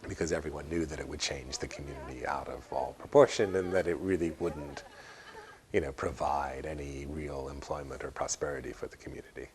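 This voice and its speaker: A man giving unscripted interview commentary in a deliberate, wry way, often halting and repeating words. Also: extremely low pitch, fairly even intonation.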